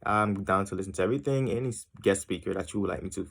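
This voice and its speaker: Young man, from Haiti, very low voice, gravelly fry, rambling casual self-intro, lots of ums. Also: quick pace, a bit bright. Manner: rambling and tangential, many ums and uhs. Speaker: student introducing himself to a class.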